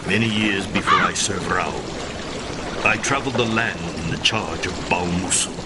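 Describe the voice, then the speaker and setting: Deep-voiced solemn spirit chronicler, acted by a man. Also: very noisy, natural voice. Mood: grave, reflective. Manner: grave, measured, reflective storytelling. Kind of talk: scripted narration. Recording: loud music behind the voice.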